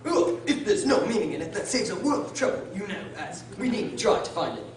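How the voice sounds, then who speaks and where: Lady doing a blustery muddled king as judge, swoopy pitch, big echoey room, radio play. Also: big pitch swings, a little fast, natural voice. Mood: pondering, pleased. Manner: blustering, hesitant, with muttering and stammering. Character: pompous, muddled King presiding as judge over a trial. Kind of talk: stage-like radio drama acting. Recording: very echoey, distant room, noisy.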